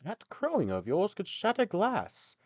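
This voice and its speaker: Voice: mid-range voice, wildly swooping pitch, unhurried, no room sound, voice pushed up. A man voicing a friendly, encouraging old donkey in a fairy tale, with a hearty, persuasive manner.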